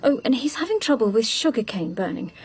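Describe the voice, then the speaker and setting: An actress playing an efficient, slightly prim female assistant to a secret agent in a radio drama, brisk and matter-of-fact. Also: a little high, big pitch swings, darkish tone. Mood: brisk, helpful.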